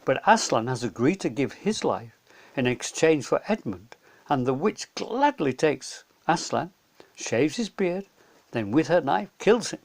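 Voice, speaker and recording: A man's voice: on the high side, sing-song, measured pace. A man narrating a children's story as a storyteller, in simple, plainly told sentences. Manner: simple, clear retelling for children.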